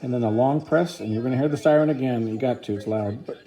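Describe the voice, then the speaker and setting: A man's voice: mid-range voice, fast, dark tone, soft background music, poor audio. A man giving a casual, informal product review, explaining features plainly step by step.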